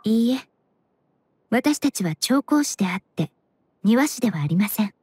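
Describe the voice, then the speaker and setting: An actress playing a composed young woman in an anime game, polite, precise and gently matter-of-fact. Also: on the high side, expressive, normal speed, breathy edge, dry recording.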